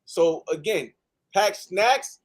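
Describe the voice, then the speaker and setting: Male panelist from the United States, high voice, emphatic casual talk with repeated phrases for stress. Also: lively intonation, slow pace.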